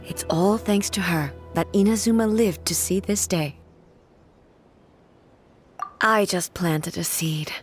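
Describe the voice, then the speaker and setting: A woman's voice: fairly low pitch, slow pace, long pauses, faint music behind. A woman playing a reserved, earnest immortal ruler in a video game, speaking formally and with restraint. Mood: reflective. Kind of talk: English video game voice acting.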